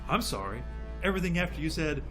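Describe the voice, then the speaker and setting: An actor playing a flustered, wry agent in an audio comedy drama, talking in a rambling, excitable way. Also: mid-range voice, quick pace, over music, natural voice.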